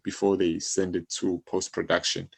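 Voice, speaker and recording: A man with a South African accent talks in a casual interview. His voice sits low, with a fry to it, and he speaks informally, working out his thoughts as he goes. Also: flat delivery, moderate pace. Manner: informal, thinking aloud. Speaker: music publisher, interview guest.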